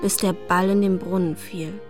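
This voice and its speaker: An actress playing a wistful, slighted young woman who narrates a radio play in a measured, intimate way with deliberate pauses. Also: on the low side, steady tone, over music, lightly acted. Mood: wistful, resentful.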